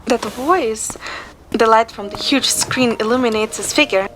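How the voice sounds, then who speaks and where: A woman's voice: on the high side, unhurried, fairly bright tone, a little hiss or hum. A young woman narrating a romantic story in the first person and acting out dialogue, over music. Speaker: amateur narrator and voice actor. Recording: music behind the voice.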